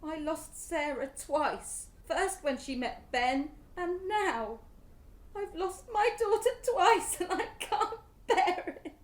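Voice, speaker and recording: Woman acting high-voiced distraught British mother, echoey. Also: expressive, full character voice. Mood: bitter, furious. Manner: emotional, halting. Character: distraught middle-aged mother, grief-stricken and bitter. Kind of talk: radio play acting. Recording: echoey room.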